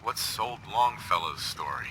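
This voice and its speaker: A man's voice: on the low side, animated, measured pace, sharp tone, a little hiss or hum, low-quality recording. A man acting a direct, inquisitive wanderer, the hero of a video game, asking questions plainly. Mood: curious.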